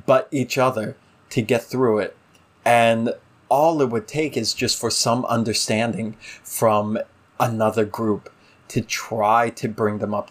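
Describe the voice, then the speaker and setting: A man co-hosting a podcast, discussing in a casual analytical way, earnest and emphatic, building his points through repetition. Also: medium pitch. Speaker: podcast co-host.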